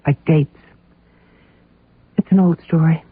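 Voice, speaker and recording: A woman's voice: low-pitched, steady tone, takes it slowly, breathy edge, exaggerated voice. An actress playing an evasive, defensive housewife under questioning in a radio drama, hesitant and guarded in her delivery. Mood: confiding. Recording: muffled narrow-band old radio sound.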